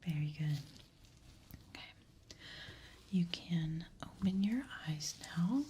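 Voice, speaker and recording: A woman whispering gently and reassuringly in a breathy voice, playing a doctor who guides the listener through an ASMR exam. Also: fairly low pitch, animated, slow pace, slight background noise.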